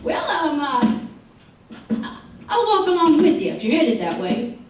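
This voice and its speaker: In a very echoey, distant recording, a man plays a frontier town doctor for a western radio drama. The voice is high and lively, full of gossipy chuckles and teasing drawl. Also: takes it slowly, full character voice. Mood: gleeful teasing. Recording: distant, very echoey stage-like sound.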